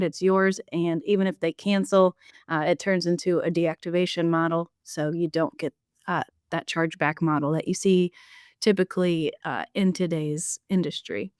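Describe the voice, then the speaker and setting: A woman's voice: on the low side, clean tone, no room sound, studio quality. A woman hosting a business podcast discussion in a polished, upbeat corporate manner. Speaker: podcast host.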